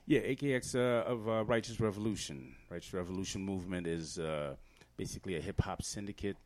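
Man, very low voice, from Trinidad and Tobago, earnest, talks with lots of fillers. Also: a little fast, darkish tone. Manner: earnest, explanatory, many fillers. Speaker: guest hip-hop artist. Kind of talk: casual podcast interview.

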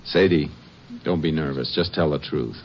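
An actor playing a calm, measured Texas Ranger who narrates his own case, in a 1950s radio drama. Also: extremely low pitch, a little hiss or hum. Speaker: narrator and lead. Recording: muffled old radio broadcast.

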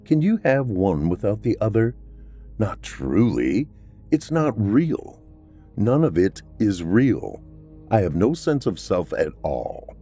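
Guy voicing a moody vampire guy, centuries old, melodramatic, swingy low voice, soft music behind. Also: very animated, slow pace, breathy edge, heavily acted. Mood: brooding. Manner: melodramatic, anguished. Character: brooding 300-year-old male vampire, self-pitying.

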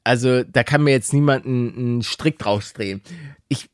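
A man chats as a podcast co-host in a mid-pitched voice. His talk is colloquial and full of joking banter, and the sound is dry, with hardly any room in it. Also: normal speed, smooth, no room sound. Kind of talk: casual chat.

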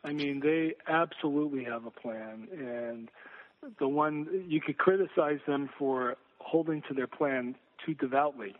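A man's voice: on the high side, measured pace. A man giving conversational sports analysis as a guest, searching for words and repeating phrases for emphasis. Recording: phone-like narrow-band line.

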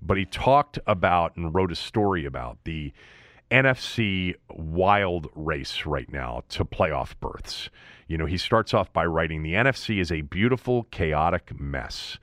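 A man with a low-pitched voice talks as a sports show host. He leans on key words and stretches them for emphasis. The sound is dry, with very little room on it. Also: a bit bright, dry recording. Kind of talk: sports commentary with ad read.

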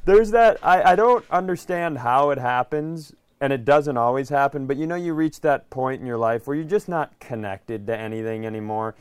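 Guy with a clean smooth voice, close dry sound, chatting on a podcast, wry and opinionated. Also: medium pitch, moderate pace, hardly any pauses, no room sound. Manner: opinionated, wry, rambling storyteller. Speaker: podcast co-host. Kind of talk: casual comic chat.

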